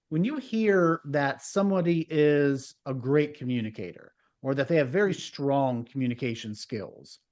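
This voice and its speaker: A man hosting a communication-skills podcast, introducing his guest and asking questions in an upbeat, polished way. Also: medium pitch. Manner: upbeat, polished presenter delivery. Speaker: podcast host. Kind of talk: podcast interview.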